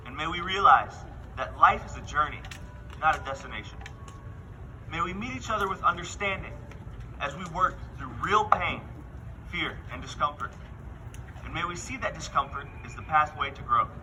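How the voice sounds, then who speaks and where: A man giving an earnest, measured prepared speech at a rally outdoors. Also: a little high, recorded from a distance, background noise. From Worthington. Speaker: speaker at a justice rally.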